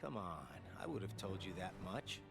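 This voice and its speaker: A man's voice: low voice, animated, normal speed, gravelly. A man playing a wry, laid-back young man who teases his friends casually in a video game. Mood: wry, exasperated. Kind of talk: English video game dub acting. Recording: game music playing behind.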